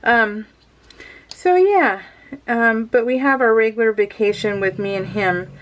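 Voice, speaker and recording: A woman's voice: on the high side, a little slow, muffled recording. A woman chatting casually while scrapbooking at home, rambling with lots of fillers and frequent laughs. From California. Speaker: crafting vlogger.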